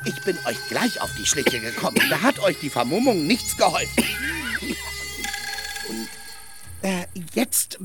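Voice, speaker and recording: A man playing an earthy, loyal peasant squire in a radio play, blunt, excitable and pleading. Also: extremely high pitch, measured pace, long pauses, loud music behind the voice. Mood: sly, wheedling.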